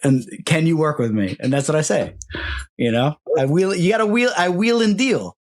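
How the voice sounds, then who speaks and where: High-voiced, very animated man bantering. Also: moderate pace. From New Jersey, United States. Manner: joking, haggling patter, salty language. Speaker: podcast co-host. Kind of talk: casual banter.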